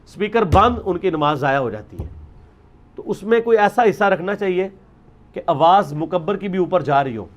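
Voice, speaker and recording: A man's voice: fairly high pitch, highs cut off. A man with a Pakistani accent giving an informal religious lecture, arguing and explaining off the cuff with English words mixed in.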